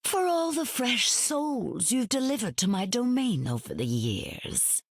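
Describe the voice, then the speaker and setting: Imperious, husky queen of the dead. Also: a little high, sing-song, unhurried, dry recording, clean studio sound, exaggerated voice. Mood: silky, condescending. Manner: grand, theatrical, condescending. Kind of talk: video game voice acting.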